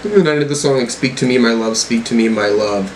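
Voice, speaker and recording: Halting man thinking aloud, faint background noise. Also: medium pitch. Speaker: music reaction host. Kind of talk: casual album reaction commentary.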